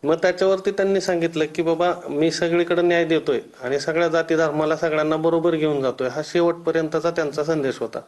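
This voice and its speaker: A man giving hesitant, deferential interview answers in a halting way. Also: fairly high pitch, fairly even intonation, darkish tone. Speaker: interviewee, relative of a politician.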